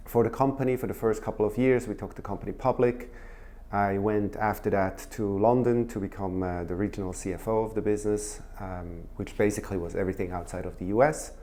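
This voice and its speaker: A middle-aged man from Switzerland, a guest giving measured interview answers full of filler sounds. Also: low-pitched, warm tone. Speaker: guest, former finance executive.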